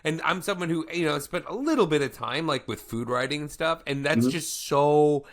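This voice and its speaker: A man's voice: a little high, expressive, normal speed. A man hosting a podcast, asking long, rambling questions full of fillers and asides. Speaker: podcast host. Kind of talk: casual interview chat.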